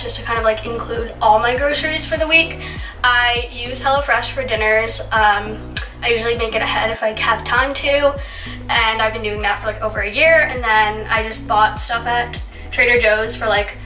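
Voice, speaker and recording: A woman's voice: a little high, distant mic. A young woman in her twenties, sounding stuffy from a recent illness, chatting casually through a grocery haul with lots of "like" fillers. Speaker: lifestyle vlogger. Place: home room, indoors. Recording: roomy, off-mic, with music. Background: background music.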